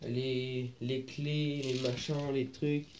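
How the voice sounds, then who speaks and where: Slow, halting teen boy with a cold. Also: mid-range voice, level tone, a little mellow. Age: teens. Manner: hesitant, searches for words, many fillers. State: stuffy, sniffling with a cold. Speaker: vlogger. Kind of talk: casual moped workshop vlog. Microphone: phone camera, handheld then propped. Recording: dull lo-fi phone audio with noise.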